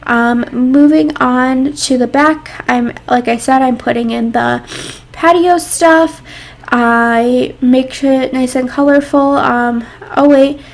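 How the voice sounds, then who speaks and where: A young woman talks through her build in a loose, rambling voice-over, full of ums and likes. She is congested, so she sounds stuffy and nasal. Her voice sits a little high and has a gravelly fry. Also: steady tone, takes it slowly. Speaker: game builder narrating a build. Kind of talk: casual voice-over commentary.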